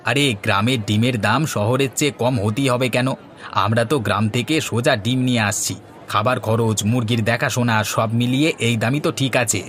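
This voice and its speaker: A man's voice: mid-range voice, fairly even intonation, fairly quick, a bit bright, a little hiss or hum, lightly acted. A man playing an earnest village chicken farmer in scripted cartoon dialogue. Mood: insistent.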